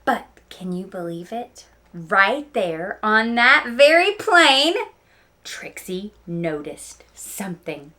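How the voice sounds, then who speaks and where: A woman teacher reading a picture book aloud to children, with animated emphasis and chatty explaining asides. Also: medium pitch, takes it slowly.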